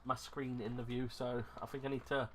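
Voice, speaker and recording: A plain-voiced man talks at an everyday pitch in a loose, rambling way, often restarting his sentences. He sounds self-deprecating and unscripted, picked up by a camera mic at a small desk with faint noise in the background. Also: level tone, a little fast, a bit bright. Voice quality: plain, unremarkable voice. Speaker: YouTuber reviewing ration packs. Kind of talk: casual unscripted vlog chat.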